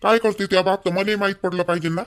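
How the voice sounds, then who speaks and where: A man acting a high-pitched, scolding village husband. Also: steady tone, fast talker, a bit bright, a little hiss or hum. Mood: scolding, accusing. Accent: Vidarbha Marathi. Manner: blunt, nagging, repetitive complaining. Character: scolding, fault-finding young village husband. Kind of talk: amateur cartoon dialogue in rural dialect.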